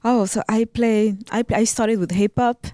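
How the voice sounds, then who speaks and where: A young woman with a French accent, a violinist chatting as a guest in a casual interview, soft-spoken with frequent ums and restarts. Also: on the high side, fairly even intonation, moderate pace, no echo, studio quality. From Reunion Island, raised in Paris.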